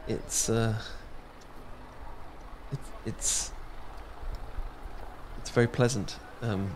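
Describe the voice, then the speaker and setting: A man's voice: fairly low pitch, fairly even intonation, extremely slow, background noise. A young British man in a romantic audio roleplay, talking shyly with many fillers and restarts. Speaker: ASMR audio roleplay performer.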